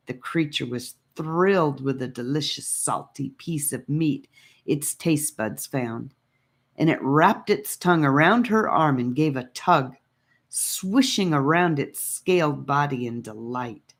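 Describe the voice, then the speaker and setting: A woman reading an adventure audiobook as a dramatic storybook narrator. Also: low-pitched.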